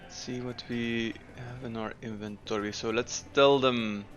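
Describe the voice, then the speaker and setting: A man narrates a city-building game in a mid-pitched, everyday voice, thinking aloud at an unhurried pace with plenty of ums and uhs. Soft game music plays faintly beneath his commentary. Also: fairly even intonation. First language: Dutch. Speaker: gameplay commentator. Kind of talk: casual let's-play commentary.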